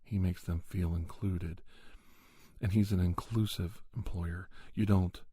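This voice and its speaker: A man hosting an ASMR podcast, giving a spoken monologue in a measured, reflective way with pauses. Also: low voice, steady tone, warm tone, husky, no room sound.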